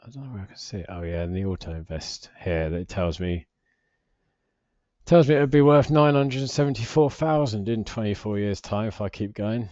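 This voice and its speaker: A British man in his forties with a low voice talks casually through a screen share. He rambles and hesitates, often putting himself down. The recording is slightly dull but quite dry. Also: expressive, brisk, a little mellow, no echo. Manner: rambling, hesitant, self-deprecating. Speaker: personal finance vlogger.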